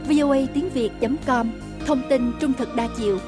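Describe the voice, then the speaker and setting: A woman's voice: high voice, normal speed. A woman reading a scripted promotional voice-over as a station announcer. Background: music.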